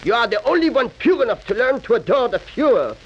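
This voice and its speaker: An actor playing an arrogant, imperious Nazi official in a 1940s radio drama. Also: male voice, very high-pitched, very animated, fast, full character voice. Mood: commanding, zealous. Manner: pompous, imperious. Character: arrogant Nazi official taking over a school. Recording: muffled, hissy 1940s radio recording.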